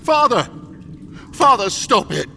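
An actor playing a hard, commanding gang captain in a radio drama, speaking in terse orders. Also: male voice, very high-pitched, sing-song, slow, gravelly, sharp tone, a little hiss or hum, exaggerated voice. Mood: alarmed pleading.

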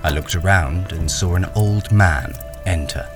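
An actor playing a wary, cornered army deserter working as a miner in a radio crime drama, hesitant and grim. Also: male voice, low voice, measured pace, over music. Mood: grim, reflective. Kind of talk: radio crime drama acting with first-person narration.

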